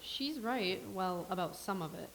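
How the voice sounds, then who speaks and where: A woman's voice: on the low side, animated, measured pace, rough recording. Woman reading a dry sarcastic coffee shop lady, hissy line. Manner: dry and sardonic. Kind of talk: staged reading.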